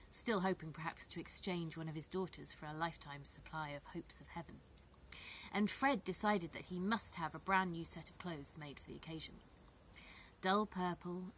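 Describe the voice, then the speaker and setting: A woman reading a children's story aloud expressively, with measured, dramatic phrasing and emphasis. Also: on the low side, slight background noise. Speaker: storybook reader.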